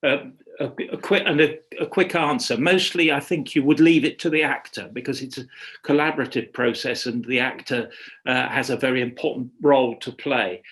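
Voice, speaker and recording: A man with an average-pitched voice speaks as a guest expert in an informal webinar. He thinks aloud, pausing and restarting phrases as he works toward each answer. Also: a bit bright. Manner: hesitant, halting, thinking aloud with repeated starts. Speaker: guest expert, radio dramatist.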